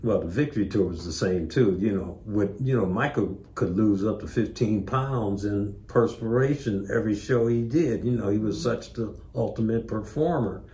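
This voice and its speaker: A man's voice: fairly low pitch, moderate pace, few pauses, mellow. An older man reminiscing casually as an interview guest. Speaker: interview guest, former tour manager. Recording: echoey room with background noise.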